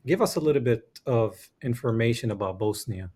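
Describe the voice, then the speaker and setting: A man hosts an interview podcast. He greets his guest in a friendly, welcoming way and asks open questions. His voice sits at an ordinary middle pitch. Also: lively intonation, brisk, mellow, breathy edge. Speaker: podcast host. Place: Georgia, US.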